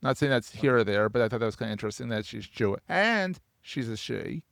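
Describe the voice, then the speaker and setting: Lively gravelly male podcast host. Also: mid-range voice, fast, no echo, clean studio sound. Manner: playful, enthusiastic interjections. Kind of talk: casual interview chat.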